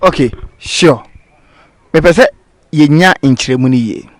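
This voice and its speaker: A man with a Ghanaian accent and Twi as his native language, hosting a casual interview with halting, hesitant phrasing. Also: a little high, dry recording. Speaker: interviewer, host.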